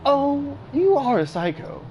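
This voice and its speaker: Man putting on a raspy, mocking androgynous woman. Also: extremely high pitch, very animated, measured pace, dark tone, dull audio, slight background noise, voice pushed up, heavily acted. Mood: scornful. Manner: hateful, mocking, patronizing tone.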